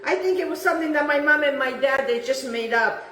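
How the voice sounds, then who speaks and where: A chatty woman from Italy, Italian her first language, talks a home cook through a recipe and repeats her tips. Her voice sits high. It is picked up from a little distance in an echoey kitchen with faint room noise. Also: fairly quick, pushed and bright. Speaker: home cooking host. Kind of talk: casual cooking demonstration.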